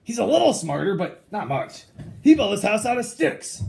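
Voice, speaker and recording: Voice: medium pitch, sing-song, normal speed, vocal fry, a little mellow. A reader voicing a sly, aggrieved male wolf who tells his side of a storybook tale in a chatty, mock-innocent, self-justifying way. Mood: matter-of-fact.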